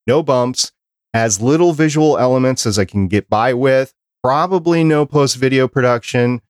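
A man speaks as a podcast host in a measured, deliberate manner. His voice sits at an average pitch for a man. The sound is dry, with hardly any room around it. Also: no room sound. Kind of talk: hosted podcast interview.

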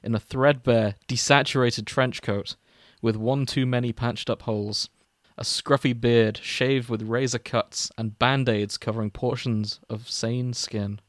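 A man's voice: mid-range voice, fairly even intonation, unhurried, hardly any pauses, no room sound. Man reading aloud, dry close sound. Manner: breaks into chuckles while reading. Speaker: host and reader of a fan fiction podcast. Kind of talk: casual banter and comic read-aloud narration.

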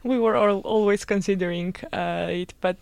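A woman's voice: mid-range voice, fairly even intonation, normal speed, gravelly, a little mellow, clear, resonant tone, no room sound. A young woman from northern Greece, co-hosting a student radio talk show in casual, laughing chat. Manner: laughs often, chatty.

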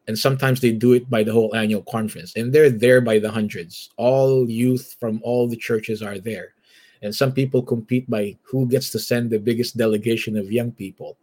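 A man from the Philippines with a Filipino accent and a husky voice, telling his story conversationally as an interview guest. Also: medium pitch, a little mellow. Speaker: church elder and guest.

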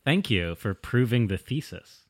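A man's voice: fairly low pitch, expressive, a little slow, no room sound. A man hosting a casual comedy podcast, joking in a playful, dry way. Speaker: podcast host.

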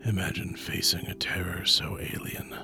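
A man with a deep voice narrates a horror trailer. He is ominous and hushed, often close to a whisper. Loud music surrounds his voice. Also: monotone, moderate pace, gravelly.

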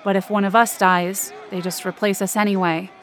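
Woman doing a tired bitter miner guy, pitched down, grumbling in an audio drama, crowd noise around. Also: little pitch movement, moderate pace, close to an everyday voice. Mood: bitter, resigned. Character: bitter, weary mine worker man grumbling. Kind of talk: audio drama character dialogue.

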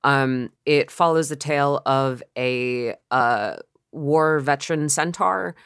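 A podcast co-host chatting casually and informally, haltingly circling back mid-thought. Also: mid-range voice, fairly bright tone, smooth, no echo, studio quality. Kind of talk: casual chat.

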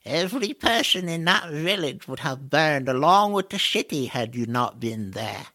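A man voicing a stern, sardonic old-fashioned mentor raven in an audio drama, speaking in a measured, formal, chiding way with a gravelly edge. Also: fairly high pitch, very animated, sharp tone. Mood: gently consoling.

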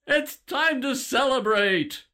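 An actor playing an excitable, devout father in a religious audio drama. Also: very high-pitched, slow pace, very noisy, heavily acted. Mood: joyful praise.

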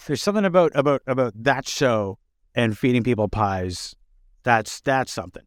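Guy interviewer chatting casually, medium pitch, stop-start and repeating words, dry audio. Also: animated, no room sound. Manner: halting, repeats words while forming thoughts. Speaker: interviewer, host.

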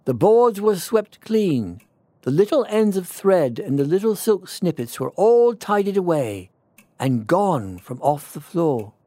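Old-fashioned British storybook narrator, played by a man: lively, higher-pitched, theatrical, gentle wonder. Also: dry recording, exaggerated voice. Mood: hushed wonder. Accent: British, put on. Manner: theatrical, savouring, drawn-out emphasis. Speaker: storyteller reading a children's tale. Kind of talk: expressive classic storybook narration.

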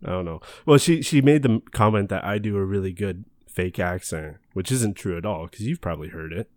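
A man's voice: low voice, fairly quick, darkish tone, no echo, studio quality. A man hosting a casual podcast, talking in a friendly, rambling way and laughing easily. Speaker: podcast host. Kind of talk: casual chat.

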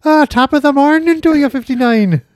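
A man putting on a hammy stage-Irish brogue as a jolly Irishman, speaking high and loud. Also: fairly quick. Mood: jokey. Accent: put-on Irish brogue.

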